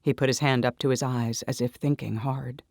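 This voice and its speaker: A man delivering dramatic first-person scripted story narration in an intense, deliberate manner. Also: mid-range voice, steady tone, vocal fry, a little mellow, no room sound, clean studio sound. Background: music.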